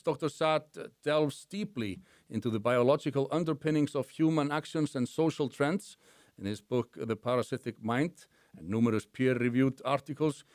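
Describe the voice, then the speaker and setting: A man's voice: medium pitch, darkish tone, no room sound, studio quality. A man with a thick Icelandic accent hosting an interview, reading a formal introduction and asking questions with frequent hesitations. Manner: hesitant, many "uh" fillers. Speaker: podcast host.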